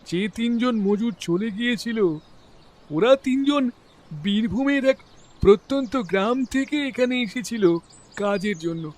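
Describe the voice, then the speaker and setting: Labour contractor, played by a man, very high voice, slow and earnest delivery, defensive, audio detective drama. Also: animated, a little mellow. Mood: earnest, explaining.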